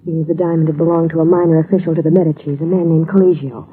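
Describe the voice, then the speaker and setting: A woman's voice: low voice, fairly even intonation, fast talker, slight background noise, close to an everyday voice. An actress playing a grieving, polite young woman in a 1950s radio drama, earnest and subdued. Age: young adult. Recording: muffled, tinny old radio recording.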